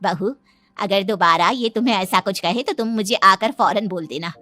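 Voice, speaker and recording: A woman's voice: medium pitch, moderate pace, sharp tone, soft background music, natural voice. A woman voicing a protective, middle-aged mother-in-law in a cartoon moral story, motherly, firm and emphatic. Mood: doting.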